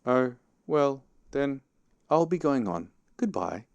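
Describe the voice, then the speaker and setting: A man voicing a greedy, good-natured, slow-witted bear in a children's storybook, earnest, bumbling and easily flustered. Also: mid-range voice, very animated, creaky, no echo, voice pushed up, heavily acted. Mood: hopeful, wistful. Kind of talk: children's storybook character reading.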